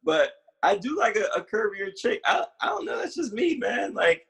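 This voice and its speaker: A man's voice: high-pitched, big pitch swings, normal speed, gravelly, a bit bright, slightly rough. A man in his late twenties chatting casually as a podcast co-host, talking things through in a reflective way and searching for his words. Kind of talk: casual conversation.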